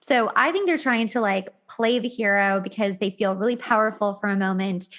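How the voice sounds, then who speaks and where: A woman hosting a casual relationship talk show, speaking in an animated, colloquial way. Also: mid-range voice, normal speed.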